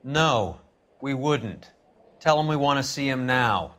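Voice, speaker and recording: An actor playing a curt, pushy, skeptical police detective in a video game. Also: male voice, medium pitch, expressive, slow, fairly bright tone.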